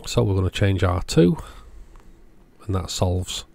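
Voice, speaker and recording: Male host for hands-on repair narration: deep, creaky voice, plain step-by-step explaining. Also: lively intonation, measured pace, a little mellow, dry recording. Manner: matter-of-fact, step-by-step explaining. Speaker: host of radio repair channel.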